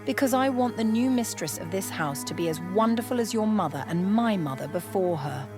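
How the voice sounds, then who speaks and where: A woman's voice: mid-range voice, expressive, a little fast, natural voice. A woman voicing a proud, scheming older aristocratic grandmother in a storybook, formal and assured. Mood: firm. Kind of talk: period storybook dialogue. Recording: music behind the voice.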